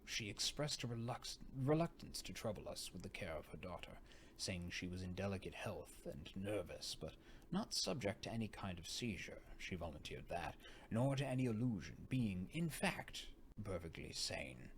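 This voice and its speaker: A man acts out a genteel older father from a gothic storybook, low in pitch and measured. He confides with a knowing, secretive air, sometimes hushed, over a slightly noisy recording. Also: sing-song. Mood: confiding, measured. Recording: noticeable background noise.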